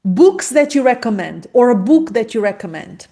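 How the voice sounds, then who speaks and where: A woman's voice: a little high, normal speed. A woman who grew up speaking Portuguese and Spanish, hosting a podcast interview and talking openly and expressively. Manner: expressive, talks through her feelings openly. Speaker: podcast host. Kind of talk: conversational interview.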